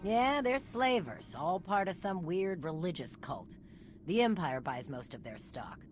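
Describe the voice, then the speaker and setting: Game role: wry female underworld contact, deep voice, businesslike briefing, faint music behind. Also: expressive, unhurried. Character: brisk, worldly female underworld guide briefing allies. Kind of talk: video game dialogue.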